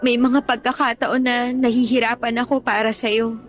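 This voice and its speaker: A woman's voice: on the high side, flat delivery, normal speed, soft background music, close to an everyday voice. A woman acting a devoted, stubbornly loyal young girlfriend in a Tagalog radio drama, with an earnest, pleading delivery. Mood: tender, reassuring.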